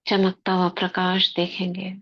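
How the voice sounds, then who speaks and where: A woman guiding a spiritual meditation in slow, soft short phrases with long pauses. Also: lowish voice, completely flat delivery, muffled recording. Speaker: meditation guide. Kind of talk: guided meditation commentary.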